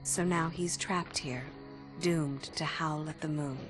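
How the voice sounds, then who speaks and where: Gentle low-voiced woman storyteller. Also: fairly even intonation, takes it slowly. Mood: tender, wistful. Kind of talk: video game cutscene acting. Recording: loud music underneath.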